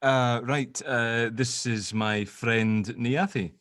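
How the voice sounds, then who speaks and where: A man's voice: mid-range voice, steady tone, slow, a bit bright, dry recording, studio quality. A man playing an affectionate, awkward long-lost father, teasing and flustered, in a drama table read.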